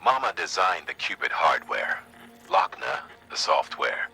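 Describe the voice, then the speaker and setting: An actor playing an earnest, grave official in a video game, explaining things in a measured, conversational, persuasive way. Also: male voice, very deep voice, vocal fry, pushed and bright, husky, soft background music, exaggerated voice, poor audio. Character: grave male second-in-command briefing an agent, earnest, folksy. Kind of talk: dramatic video game exposition.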